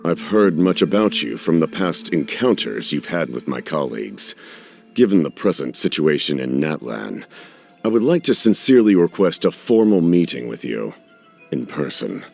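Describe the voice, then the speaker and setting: A man playing a grave, calculating schemer in a video game, speaking formally and secretively with measured courtesy. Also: rumbling low, big pitch swings, creaky, a little mellow, faint music behind, full character voice. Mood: grave, persuasive.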